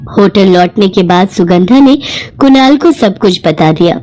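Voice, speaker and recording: A woman's voice: mid-range voice, lively intonation, unhurried, dark tone. A woman narrating a scripted moral story as a storyteller.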